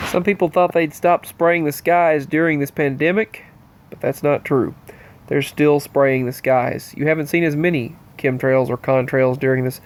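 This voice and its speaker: A man with a stopped-up, allergy-stuffed nose talks straight to his viewers in a loose, rambling monologue. His pitch sits in an ordinary middle range, and he speaks earnestly as he moves from item to item. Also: creaky. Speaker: channel host. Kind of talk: casual news-roundup monologue.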